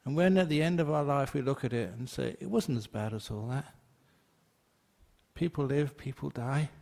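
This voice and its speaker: An older English-born Buddhist monk giving a chatty, joking Dharma talk, answering questions from the floor and laughing often. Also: male voice, mid-range voice, moderate pace, vocal fry, darkish tone.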